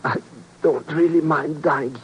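Actor as a slow, plaintive wounded rogue. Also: male voice, a little high, steady tone, muffled recording, natural voice. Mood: weak, plaintive. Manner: halting, plaintive, melodramatic. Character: wounded, self-pitying rogue sidekick, melodramatic and money-minded. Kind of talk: 1950s radio drama acting. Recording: noisy old radio broadcast recording.

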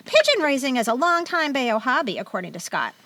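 A woman's voice: sits high, big pitch swings, a little fast, very noisy. A middle-aged American woman co-hosting a casual podcast, chatty and wry as she comments and reads bits aloud. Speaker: podcast co-host. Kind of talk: casual chat with read-aloud magazine excerpts.

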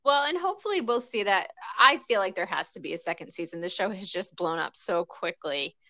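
A woman's voice: medium pitch, animated, a little fast, bright, forceful tone, slight background noise. A woman co-hosting a casual podcast, chatting and laughing easily. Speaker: podcast co-host. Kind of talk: casual chat.

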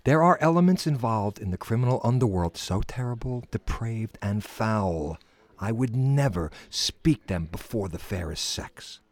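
Radio drama police captain: blunt, in command, very animated intonation, dry studio. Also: male voice, fairly low pitch, unhurried, no echo. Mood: formal, grave. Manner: blunt, authoritative.

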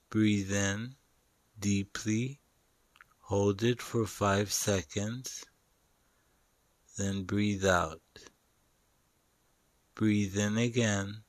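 Very slow male meditation guide. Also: on the low side, dry recording. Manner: slow, deliberate, short phrases with long pauses.

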